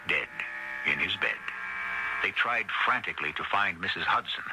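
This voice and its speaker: Low-voiced male radio mystery narrator. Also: animated, moderate pace. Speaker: announcer and narrator. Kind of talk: scripted suspense radio narration. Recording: noisy radio broadcast recording.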